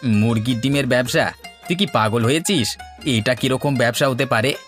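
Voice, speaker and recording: A man plays a village sidekick in a comic cartoon, full of questions yet quick to get excited. His voice sits at an average pitch, with soft music underneath. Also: lightly acted. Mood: doubtful. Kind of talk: comic Bengali cartoon dialogue. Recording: music behind the voice.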